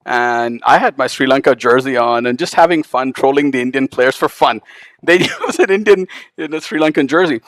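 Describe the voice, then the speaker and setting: Lively male author, dry sound. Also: mid-range voice, moderate pace, dry recording. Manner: animated storyteller, laughs often. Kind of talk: casual talk at a book event. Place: event hall with live audience.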